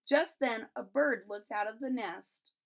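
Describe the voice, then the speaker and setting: A woman's voice: on the high side, gravelly. Woman reading a children's story aloud. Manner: expressive, explanatory, pausing to retell the story simply. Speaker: storybook reader. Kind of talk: read-aloud of a children's picture book with casual retelling.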